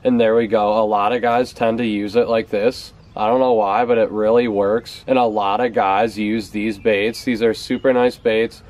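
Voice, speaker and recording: Easygoing young man, fairly low voice. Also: flat delivery, moderate pace, few pauses, darkish tone. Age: young adult. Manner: easygoing, repeats words for emphasis. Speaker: fishing vlogger. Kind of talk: casual product talk to camera. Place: outdoors on a house's back porch steps.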